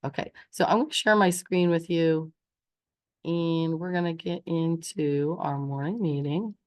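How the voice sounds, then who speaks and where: A woman's voice: low-pitched, a little slow. A woman teacher with a stuffy nose and scratchy throat, leading a recorded morning meeting in a sing-song, encouraging voice for young children.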